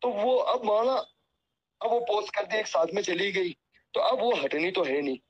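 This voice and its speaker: Male cleric, slightly high voice, humble and apologetic, devout phrasing, earnest phone talk on a dull lo-fi recording. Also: normal speed. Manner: deferential, apologetic; pious, peppered with religious phrases. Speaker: Islamic cleric. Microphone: phone call. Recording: muffled lo-fi phone call recording.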